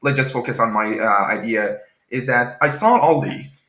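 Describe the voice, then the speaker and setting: Halting Farsi-speaking man, bright echoey voice. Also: medium pitch. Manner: halting, repeats words, restarts often. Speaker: agnostic guest. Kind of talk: casual debate.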